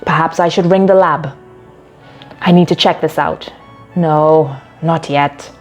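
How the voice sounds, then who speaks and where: An agitated, upright sports club coach in a short story, voiced by a woman in a lowish register. The delivery is emphatic and distressed, with music underneath. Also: steady tone, measured pace.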